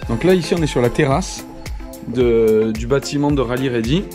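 A man in his thirties from France, speaking with a French accent, excitedly narrating a tour with a handheld action camera, laughing often. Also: mid-range voice, moderate pace, over music. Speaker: vlogger touring a car workshop. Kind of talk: casual handheld vlog narration. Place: house, workshop and ranch, indoors and out. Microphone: action camera held at arm's length.

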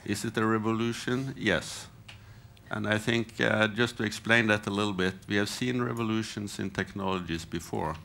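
A man's voice: lowish voice, steady tone, moderate pace, vocal fry, a bit bright. A man in his fifties giving a short, plain answer on a conference panel, using rhetorical questions. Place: conference hall panel stage. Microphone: headset mic.

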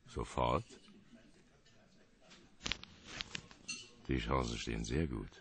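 A man acting a gruff but chummy hotel detective in a German radio play, with a gravelly voice and laid-back manner. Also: rumbling low, moderate pace, noisy background. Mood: obliging, cautious.

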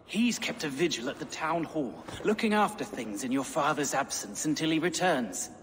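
An actor playing a deferential, worried Saxon household servant in a video game, respectful and halting. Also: male voice, a little high, lively intonation, a bit bright. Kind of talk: video game cutscene acting. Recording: long hall reverb, faint noise.

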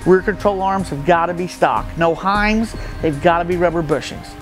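A middle-aged man from the Midwest with a fairly high voice talks in a plain, matter-of-fact way, pausing on fillers as he explains his race car. He is picked up by a camera a few feet away in an open metal shed, with faint music running quietly underneath. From Midwestern United States. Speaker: stock car racer. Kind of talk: casual walkaround explanation. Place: open metal shed with gravel floor, outdoors nearby.

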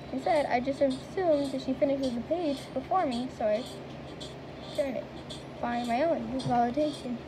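A woman with a high voice reads game narration aloud, quick and stumbling over words. The home recording carries a clear hiss. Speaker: game player reading aloud. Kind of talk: casual reading of game text.

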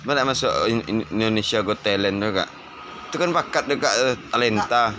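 A man with a fairly low voice chats casually and teasingly. It is a handheld phone recording in a small shop, with clear background noise. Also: fairly quick, sharp tone. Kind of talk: casual chat. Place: small open-fronted shop by a road.

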